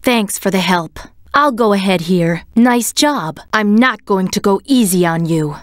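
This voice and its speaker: A woman voicing a serious, composed young female cat in a video game, speaking in a measured, commanding way. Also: mid-range voice, expressive, dry recording, clean studio sound, natural voice. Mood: composed, instructive. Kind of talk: scripted game voice acting, tactical hints.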